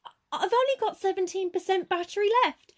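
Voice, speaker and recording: A woman's voice: very high-pitched, fairly quick. A woman playing a self-absorbed, evasive young social media addict with a defensive, petulant manner in an amateur radio play.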